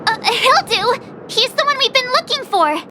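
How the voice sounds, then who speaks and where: Very high squeaky little companion. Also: female voice, animated, moderate pace, noisy background. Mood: excited. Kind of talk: anime game voice acting. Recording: loud game music behind. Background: loud game music.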